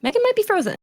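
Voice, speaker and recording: A woman's voice: very high-pitched, warm tone, dry recording, clean studio sound. A woman moderating a casual live-streamed conversation, passing questions along to guests. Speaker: host, moderator.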